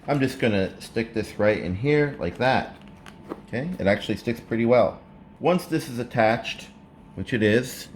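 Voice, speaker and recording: A man narrating a casual hands-on how-to, explaining step by step in a halting way, recorded indoors. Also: lowish voice. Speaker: presenter of a how-to video. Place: indoors, living room at a table. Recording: clear background room noise.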